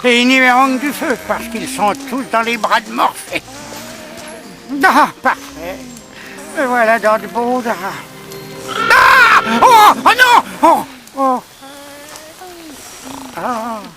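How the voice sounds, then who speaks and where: High, fretful cartoon animal worrier. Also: very animated, takes it slowly, pauses often, rough recording. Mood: gloomy fretting, then terrified. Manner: fretful, stammering, whining. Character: anxious, pessimistic male animal called Doc, fretful worrier. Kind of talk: animated cartoon dub acting. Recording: cartoon soundtrack with music behind.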